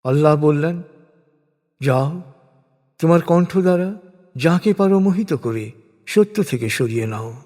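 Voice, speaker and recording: A man reading a scripture translation as solemn, scripted religious narration, in a measured, declamatory way. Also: on the high side, lively intonation, warm tone. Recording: long cavernous reverb.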